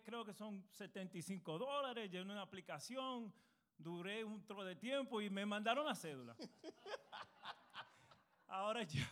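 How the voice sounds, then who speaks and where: A man, a pastor and lawyer, giving a church panel talk, earnest and halting with many fillers, addressing his listeners as brothers. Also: high-pitched, fairly bright tone. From United States.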